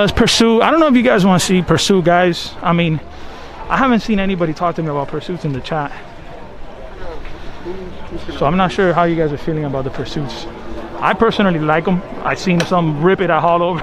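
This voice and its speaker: A young man, live-streaming from a boat show in a relaxed, slangy way, worn out by the heat. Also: high voice, fairly quick. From Miami, Florida. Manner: casual, excitable, slangy, drops Spanish phrases. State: tired from the heat. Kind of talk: live-stream walkaround chat. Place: crowded outdoor boat show docks and boat cabins. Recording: busy crowd noise behind. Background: crowd chatter.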